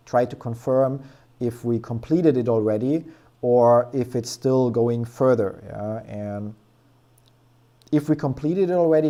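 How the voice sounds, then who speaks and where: A man's voice: medium pitch, mellow. A young man hosting a stock trading stream, casually analyzing charts and thinking aloud in a rambling way, with plenty of fillers.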